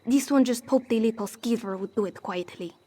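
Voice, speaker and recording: A woman's voice: a little high, fairly quick, warm tone, lightly acted. A woman playing a wry, proud cat-folk smuggler with a theatrical, sardonic manner in scripted fantasy game dialogue. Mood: wry, resigned. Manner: theatrical, sardonic, speaks of herself in third person.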